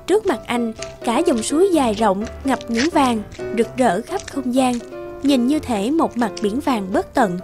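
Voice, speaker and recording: A woman narrating a scripted children's fairy tale with expressive storytelling. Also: fairly high pitch, a little slow, music in the background.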